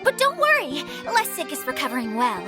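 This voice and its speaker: A woman's voice: high voice, huge pitch swings, fairly quick, over music. A woman playing a bubbly, breezy and cheerfully self-satisfied girl caretaker in a video game cutscene. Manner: chirpy, breezy, unbothered.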